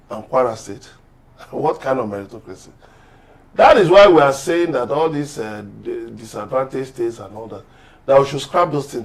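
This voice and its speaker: Man, Nigerian accent, guest commentator, political debate, argumentative, emphatic, rhetorical. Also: mid-range voice.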